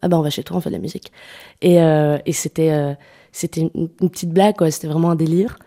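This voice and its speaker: A young woman singer-songwriter answering interview questions, speaking softly with many hesitations and restarts. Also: deep voice, fairly even intonation, quick pace. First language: French. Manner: soft-spoken, hesitant, many fillers and restarts. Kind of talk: radio interview conversation.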